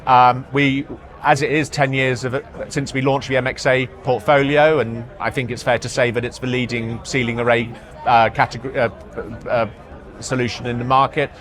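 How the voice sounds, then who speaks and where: A man speaking as an executive in a product interview, halting, often repeating words and hesitating as he explains. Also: mid-range voice, level tone, fairly bright tone. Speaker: marketing executive being interviewed. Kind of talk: product interview at a trade show. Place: trade show floor.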